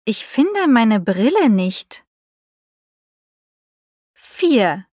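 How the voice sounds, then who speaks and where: A woman speaking short scripted lines for a language listening test, pronouncing each word carefully and deliberately. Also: a little high, sing-song, darkish tone, dry recording, studio quality. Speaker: speaker in exam recordings.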